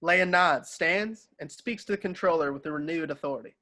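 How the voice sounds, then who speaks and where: A man with a slightly high voice narrates a screenplay. He reads scene headings and action lines in a scripted table-read style. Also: a little fast, pushed and bright. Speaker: narrator reading stage directions.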